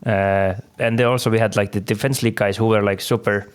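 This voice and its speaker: A man hosting a podcast in relaxed conversation who laughs easily and uses many fillers. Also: lowish voice, quick pace, clear, resonant tone. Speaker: podcast host. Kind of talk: casual interview talk.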